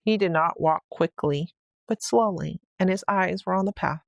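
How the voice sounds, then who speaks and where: A woman reads a bedtime story in a fairly low voice. There is noticeable creak in it, and the recording is dry and close. The delivery is scripted storybook narration. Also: normal speed, no echo, muffled recording. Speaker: story reader.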